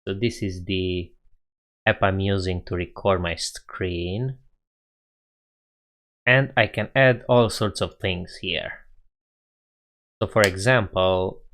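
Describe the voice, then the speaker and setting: A man's voice: sits low, a bit bright. A young man with a Romanian accent, casually chatting with viewers on a coding live stream, laughing often and thinking aloud.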